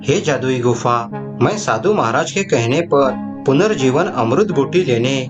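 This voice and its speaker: A man acts out a noble vulture king from a cartoon fable. He is kind yet commanding, speaking in earnest, formal declarations at a middling pitch. Clear music plays behind him. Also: expressive, a little slow, lightly acted. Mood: pleading. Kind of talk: cartoon moral story voice acting.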